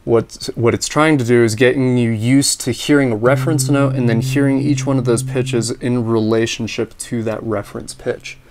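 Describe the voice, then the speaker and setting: A man with a slightly raspy voice, teaching ear training: he explains in a relaxed, practical way and sings solfege scales and intervals. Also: medium pitch, normal speed, few pauses. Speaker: music teacher. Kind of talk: instructional talk with sung solfege drills.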